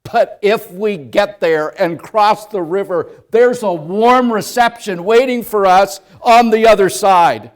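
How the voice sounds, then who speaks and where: Male pastor preaching; slightly high voice, slow measured pace, storytelling that builds from quiet to loud. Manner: storytelling in second person, swelling from hushed to loud. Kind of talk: sermon.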